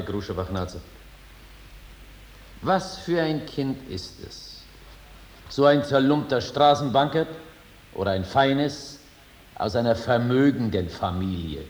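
An actor playing an earthy, sly rogue judge in a 1950s German radio drama, questioning bluntly in colloquial speech. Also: male voice, mid-range voice, animated, slow, long pauses. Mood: brusque, mocking.

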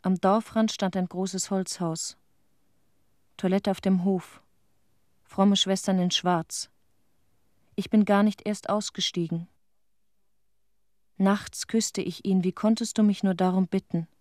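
An actress playing a haunted, tender middle-aged widow in a radio play, speaking in halting short phrases of her dead husband. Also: mid-range voice, level tone, a little mellow, dry recording, studio quality, natural voice. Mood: sorrowful. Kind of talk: intimate radio play monologue.

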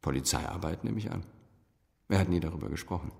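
An actor playing a wealthy, guarded businessman in a radio drama, formal and quick to take offence. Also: deep voice, creaky, a little mellow. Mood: guarded, businesslike. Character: wealthy businessman father, guarded, indignant when questioned. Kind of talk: German radio drama acting. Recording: reverberant room.

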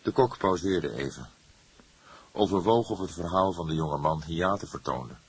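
A man's voice: low-pitched, expressive, moderate pace, husky, dry recording. A man narrating a scripted crime story as an audiobook reader.

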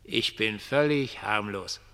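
An actor in a German radio play as a ragged homeless dreamer, mocking, prophetic and pitying, with theatrical, declamatory delivery. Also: male voice, lowish voice, takes it slowly, fairly bright tone. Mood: mocking, sly. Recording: long reverberant hall.

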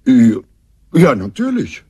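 An actor playing a courteous, inquisitive gentleman investigator in a German radio drama, polite and slightly hesitant. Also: mid-range voice, wildly swooping pitch, slow pace, breathy edge, muffled recording, full character voice. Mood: puzzled, exasperated.

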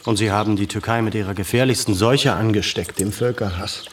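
A man's voice: fairly low pitch, a little fast, a little hiss or hum, lightly acted. An actor playing an educated, earnest Armenian man in a radio play, brooding and pensive, with a troubled intensity. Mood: respectful, earnest.

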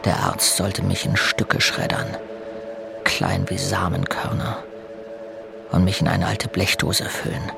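A first-person narrator looking back on a grim childhood in an audio drama. His voice sits fairly low and his telling is resigned. Music plays clearly behind the words. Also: little pitch movement, takes it slowly, full character voice. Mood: bitter. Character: male narrator recalling a bleak boyhood, resigned.

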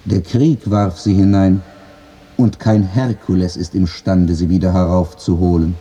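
An actor playing a stern, reproachful god in solemn, rhetorical radio-play declamation. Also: male voice, low voice, level tone, unhurried, warm tone, slightly rough, muffled recording. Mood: grave. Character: stern, reproachful messenger god scolding mankind. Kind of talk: classical comedy radio play acting.

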